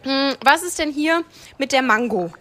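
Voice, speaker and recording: A woman's voice: high voice, lively intonation, moderate pace, sharp tone. A woman working as a radio field reporter, chatting enthusiastically and casually live on location, often while tasting food. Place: inside an ice cream parlour. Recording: background noise of an ice cream parlour.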